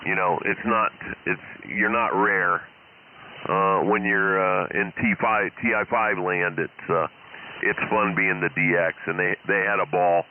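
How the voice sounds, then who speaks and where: American man, middle-aged, low voice, casual ham radio caller, thin narrow-band radio signal. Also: steady tone, measured pace, slight background noise. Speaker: amateur radio operator calling in.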